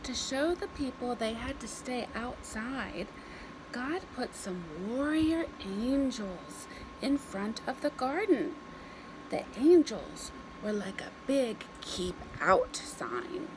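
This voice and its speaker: A woman reading a story aloud to young children in an expressive, deliberate way, with simple phrases and dramatic pauses. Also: a little high, noisy background.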